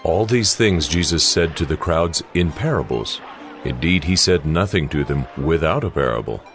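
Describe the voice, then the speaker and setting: Very deep male scripture narrator. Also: animated, moderate pace, faint music behind.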